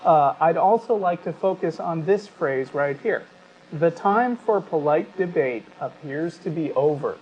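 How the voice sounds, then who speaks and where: A man co-hosting a talk show, making his points in a deliberate, rhetorical, sardonic way. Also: fairly high pitch, lively intonation, dull audio. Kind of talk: opinionated commentary, reading excerpts aloud.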